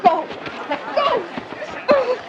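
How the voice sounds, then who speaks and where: A woman's voice: very high voice, big pitch swings, takes it slowly, dull audio, a loud crowd, very noisy, exaggerated voice. An actress playing an earnest, wistful young woman who insists she is a mermaid, in a 1950s period phone drama. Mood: frantic, desperate. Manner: earnest, lyrical, wistful phrasing.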